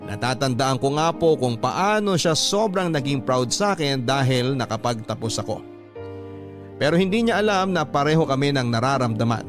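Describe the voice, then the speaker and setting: A man narrating a scripted letter as a radio host, in a classic storytelling style. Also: medium pitch. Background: background music.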